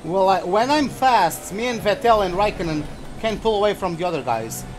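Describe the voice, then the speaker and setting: High-voiced young man from Portugal. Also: animated, normal speed, low-quality recording. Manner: loose, rambling, swears when startled. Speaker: gaming channel host. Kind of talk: casual commentary while racing. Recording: game music playing behind.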